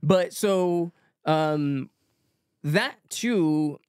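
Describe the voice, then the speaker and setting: A young man talking casually as a musician guest on a podcast, relaxed, with lots of fillers. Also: a little high, very slow, clear, resonant tone, dry recording, clean studio sound. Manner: relaxed, many fillers, light-voiced.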